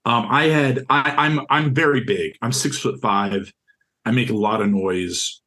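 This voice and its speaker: A young man with a deep, carrying voice tells of his own experience in an interview, earnest and stop-start, often repeating words as he searches for the next one. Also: measured pace, sharp tone. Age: young adult. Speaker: interviewee, university student. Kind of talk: recounting a personal experience in an interview.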